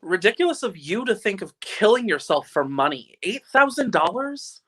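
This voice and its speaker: A man's voice: sits high, big pitch swings, normal speed, a bit bright, heavily acted. Radio play angel: male actor as ancient but guileless heavenly helper, earnest and quaint. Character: innocent, earnest 293-year-old second-class guardian angel. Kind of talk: old-time radio play live reading.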